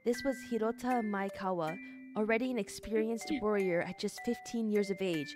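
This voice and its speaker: A woman's voice: medium pitch, normal speed. A woman streaming casually, reading a visual novel aloud and reacting with blunt, sweary exclamations. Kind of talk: casual co-op stream chat and read-aloud narration. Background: soft game music.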